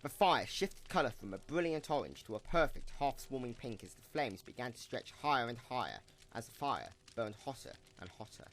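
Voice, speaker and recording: Male narrator, dramatic storytelling, lively pitch swings, mid-range voice, music underneath. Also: a little fast, few pauses.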